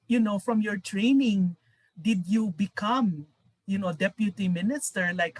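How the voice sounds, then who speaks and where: A woman's voice: mid-range voice, no room sound, rough recording. A woman moderating a panel, asking curious follow-up questions.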